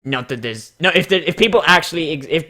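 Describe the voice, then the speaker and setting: Bright-voiced man doing crude mocking commentary. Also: a little high, lively intonation, quick pace. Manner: crude, swearing, exclamatory. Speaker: host reading fan characters aloud. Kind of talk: casual mocking commentary with dramatic readings.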